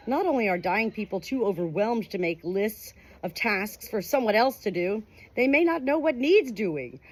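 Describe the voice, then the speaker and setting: A woman's voice: medium pitch, husky. A woman reading a nonfiction book aloud as scripted audiobook narration. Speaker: audiobook reader.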